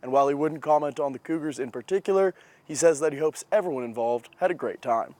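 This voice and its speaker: A man's voice: fairly high pitch, fast, warm tone, dry recording. A young man reporting as a student TV journalist, reading scripted news with an emphatic broadcast delivery. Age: young adult.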